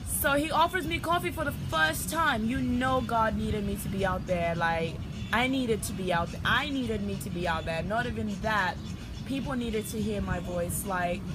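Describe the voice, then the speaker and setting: Young female vlogger from Africa, rambling and profane, slightly high voice, loud music behind. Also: rough recording. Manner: meandering, profane, chatty. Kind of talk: rambling casual monologue.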